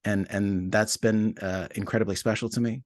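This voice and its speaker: Male interview guest, deep register, dry sound. Reflective, hesitant, modest, conversational. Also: steady tone, normal speed, dry recording. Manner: hesitant, searching for words, self-deprecating. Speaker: podcast writer and co-producer, interview guest. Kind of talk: casual, reflective interview talk.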